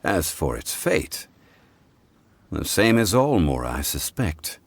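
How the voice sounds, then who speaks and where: An actor playing a calm, learned male consultant in a game cutscene, deep and courteous, correcting others in an unhurried, polite way. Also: dry recording, full character voice. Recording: game cutscene with faint score.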